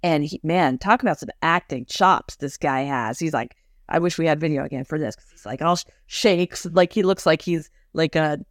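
A woman's voice: low-pitched, moderate pace, no room sound, studio quality. A woman co-hosting a podcast, chatting casually and recapping a TV episode, laughing often and acting out scenes. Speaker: podcast co-host.

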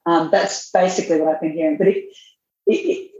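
A woman, a magazine editor answering interview questions in a measured way, thinking aloud as she goes. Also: fairly low pitch, steady tone, dark tone, slight background noise. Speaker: fashion magazine editor, guest. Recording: roomy, dull lo-fi sound.

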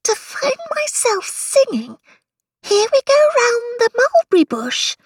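Wide-eyed, polite young storybook girl played by a woman, very high, swooping pitch. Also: huge pitch swings, slow, no room sound, exaggerated voice. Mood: wondering.

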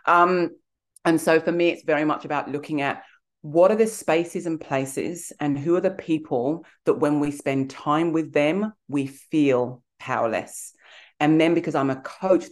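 A woman in her forties with an English accent tinged with Australian, speaking earnestly and thoughtfully as an interview guest. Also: low-pitched. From England. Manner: thoughtful, earnest, with soft fillers. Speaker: guest, executive coach. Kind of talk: reflective interview talk.

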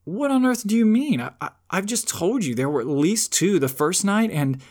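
A man's voice: high voice, animated, normal speed, a little mellow, pitched above the performer's own voice. A man voicing a frightened young boy who is lost and pleading. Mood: disbelieving. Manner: pleading, near-scream.

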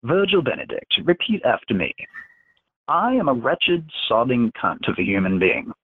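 Brief: man doing a cruel boss, higher and mocking, over a tinny phone line. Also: sing-song, moderate pace, breathy edge, no echo. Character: menacing telepathic male crime boss.

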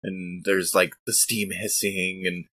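A man with a fairly low voice that has a bright, crisp edge, recorded very dry. He improvises scene descriptions for a tabletop game in a casual, hesitant way, feeling for each detail. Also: little pitch movement, takes it slowly, no echo. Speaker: tabletop role-playing game player.

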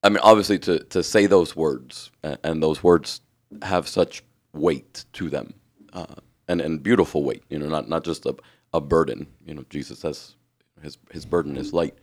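A hoarse man with a raspy voice, co-hosting a podcast in earnest, reflective casual chat. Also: extremely low pitch, normal speed. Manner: earnest, reflective, restarts often. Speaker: podcast co-host.

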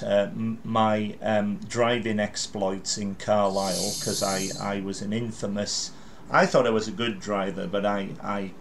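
A man's voice: fairly low pitch, a little hiss or hum. A man in his fifties hosting an interview podcast, telling stories in a rambling way with stammered restarts. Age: 50s. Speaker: podcast host. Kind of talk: casual interview chat.

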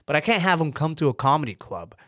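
A young man from Canada, a stand-up comedian, chatting casually with quick, crude jokes. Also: on the high side, sing-song, a bit bright, no echo, clean studio sound. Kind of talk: casual podcast chat.

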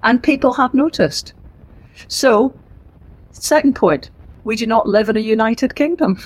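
A middle-aged woman with a Northern Irish accent, an author giving a lively, emphatic book talk to a live audience in a library. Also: fairly high pitch, measured pace, slightly rough, rough recording. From Northern Ireland. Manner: lively, emphatic and conversational, with asides. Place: library event room.

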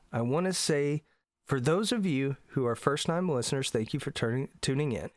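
A man's voice: medium pitch, no echo, clean studio sound. A middle-aged man from Indiana, congested from allergies, hosting a solo podcast in an earnest, emphatic way. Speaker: podcast host.

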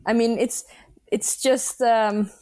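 A young woman from Greenland, a native Danish speaker, answering interview questions in English with many pauses and restarts. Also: a little high, fairly even intonation, dark tone, no room sound. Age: young adult. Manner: hesitant, many fillers and restarts. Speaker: guest athlete. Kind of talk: casual interview.

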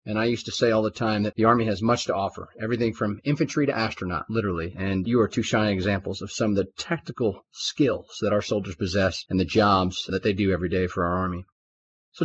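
A man's voice: lowish voice, slight background noise. A man hosting a formal interview podcast, upbeat and full of praise for his guests. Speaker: podcast host. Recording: dull lo-fi sound.

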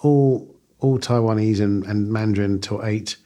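A man's voice: on the low side, steady tone, measured pace, dark tone. A man from the United Kingdom hosting a podcast interview, eager and chatty, often restarting and stumbling over his questions. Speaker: podcast host. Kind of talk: casual interview chat.

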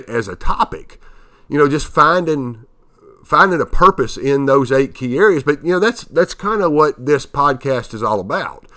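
A man in his fifties from Tennessee hosts a motivational podcast in a bright, ringing voice. His nose is a little stuffed up from allergies. He stops and starts often, filling the gaps with "uh" and repeated words. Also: medium pitch. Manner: halting, with many fillers and restarts. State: nasal from allergies. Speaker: podcast host.

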